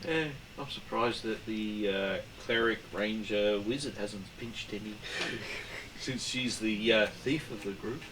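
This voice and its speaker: A man playing in a tabletop game, teasing the others with quick quips. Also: on the low side. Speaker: player. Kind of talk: casual tabletop game chat. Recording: distant mic with clear hiss.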